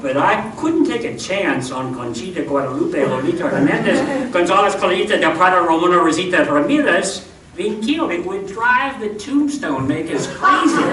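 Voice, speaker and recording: A man's voice: fairly high pitch, natural voice. An actor playing a hapless, exasperated town marshal narrating his story in a comic old-time radio show. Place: live show in a room before an audience. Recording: roomy, distant live stage recording, noisy.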